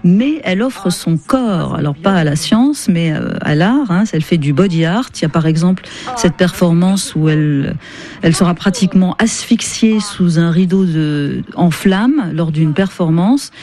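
A woman's voice: on the low side, animated, normal speed, mellow. A woman hosting a cultural radio interview, talking conversationally with casual asides. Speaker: radio host.

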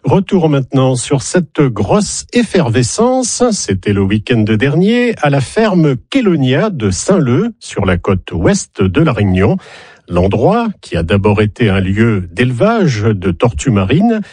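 A man's voice: medium pitch, sing-song, unhurried, a little mellow, husky, no room sound, clean studio sound. A man presenting a regional radio news bulletin in a scripted reading style, with wry, ironic asides.

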